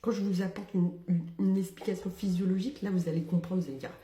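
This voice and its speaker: Young French woman, very dark mellow tone, wry humor, frequent laughs and whispers. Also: on the low side, steady tone, fast, reverberant. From metropolitan France. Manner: ironic, laughs often, drops into whispered asides. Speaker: social media creator. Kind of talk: casual monologue to camera.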